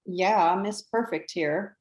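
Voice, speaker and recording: A woman's voice: fairly low pitch, takes it slowly, a little mellow. A woman playing a gushing, meddling pregnant best friend with a teasing, theatrical manner in a screenplay table read. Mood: teasing, pointed. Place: remote video call.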